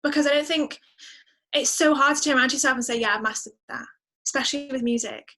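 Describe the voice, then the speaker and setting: A woman's voice: high voice, fast talker, bright, forceful tone. A woman musician chatting casually as an interview guest, effusive and emphatic, repeating words for emphasis. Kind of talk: casual podcast interview chat.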